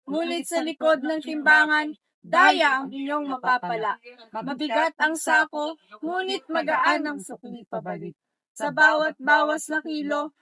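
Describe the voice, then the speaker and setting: Woman doing a greedy pig middleman, boastful theatre recital, high bright voice, dry audio. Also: no echo, rough recording. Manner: boastful, declamatory. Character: sly cheating pig middleman, smooth-talking and greedy. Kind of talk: reader's theatre verse recital.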